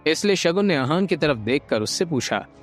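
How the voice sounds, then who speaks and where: A man narrating an audio drama from a script, expressive and building suspense. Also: a little high, moderate pace. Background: light background music.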